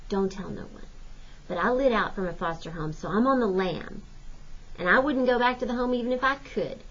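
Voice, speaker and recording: A proud, guarded boy of ten, an orphan runaway from a storybook, acted out by a woman reading aloud. The pitch sits in a middle range for a woman, and the recording is slightly dull and lo-fi. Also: a little fast, fairly bright tone, lightly acted.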